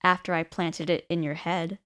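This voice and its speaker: An actress playing a detective's sharp-witted female partner in a radio drama, with a teasing, confident manner. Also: on the low side, little pitch movement, moderate pace, clean tone, lightly acted.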